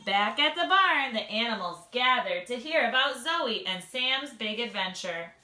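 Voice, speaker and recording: Animated, bright-voiced woman reading to children. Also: fairly high pitch, measured pace, roomy sound, low-quality recording. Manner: prompting a child with questions. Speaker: teacher and mother reading aloud. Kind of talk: picture-book read-aloud for young children.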